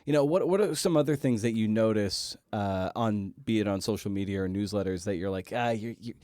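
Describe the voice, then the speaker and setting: Guy podcast host, lowish voice, halting casual questions, really dry sound. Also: warm tone, no room sound. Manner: halting, feels way through questions with repeated words.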